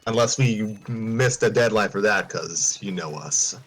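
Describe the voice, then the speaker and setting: A man's voice: lowish voice, a little slow, a bit bright. A man hosting a casual livestream, rambling and correcting himself with chuckling asides. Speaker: host.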